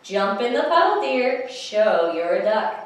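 A woman's voice: on the high side, sing-song, measured pace, very echoey, distant mic. A woman voicing a bossy, caring mother duck giving orders to her ducklings, in a storybook read-aloud. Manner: commanding, motherly.

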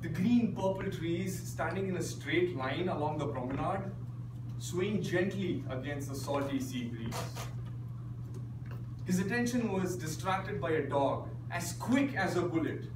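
A man in his thirties delivering a prepared story theatrically, with deliberate pauses, to a speaking club in a meeting room. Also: a little high, distant mic. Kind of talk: dramatic prepared storytelling speech. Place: meeting room with whiteboards, indoors. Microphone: distant camera microphone. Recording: echoey room from afar, clear background noise.

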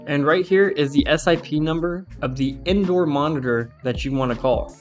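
A man's voice: a little high, normal speed, lo-fi sound, soft background music. A man presenting a step-by-step tech tutorial in an informal, conversational instructional manner. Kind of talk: casual step-by-step software walkthrough.

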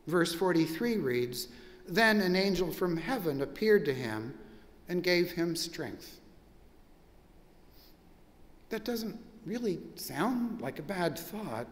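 An older man, a pastor, preaching a measured, reflective sermon with long pauses in a large church sanctuary. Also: high voice, in a large hall.

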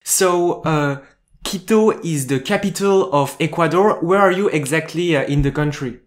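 A young man hosting an interview over a video call from home, asking questions haltingly with many fillers. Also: a little high. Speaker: host. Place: home room. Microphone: earphone mic on a video call.

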